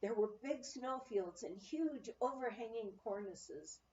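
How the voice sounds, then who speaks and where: A woman narrating a memoir aloud in an expressive, storytelling manner. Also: fairly high pitch, a little slow, distant mic, a little hiss or hum. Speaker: audiobook reader. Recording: muffled, roomy, off-mic amateur recording.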